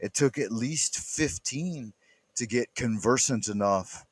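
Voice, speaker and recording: A man's voice: mid-range voice, slow, breathy edge. A middle-aged man hosting a casual talk, rambling and prone to interjecting. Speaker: show host. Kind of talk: casual chat.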